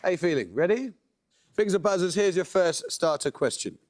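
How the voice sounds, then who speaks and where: A man hosting a quiz show, reading questions and introductions with a measured, precise delivery. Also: sits high, animated, over a noisy crowd, heavy background noise.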